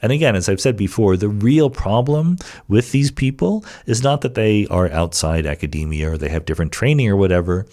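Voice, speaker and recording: A man's voice: low-pitched, a little mellow. A man hosting a podcast, explaining things in a casual, conversational monologue with emphatic rhetorical asides. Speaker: podcast host answering listener questions. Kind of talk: casual explanatory monologue, reads questions aloud.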